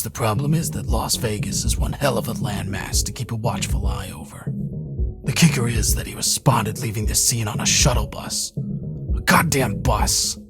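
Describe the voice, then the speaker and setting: An actor playing a bitter, world-weary middle-aged police detective in a game cutscene, hard-boiled and foul-mouthed with a gravelly voice. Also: male voice, fairly low pitch. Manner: hard-boiled, sardonic, cursing. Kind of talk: noir video game cutscene acting. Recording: loud music under the voice. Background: loud music.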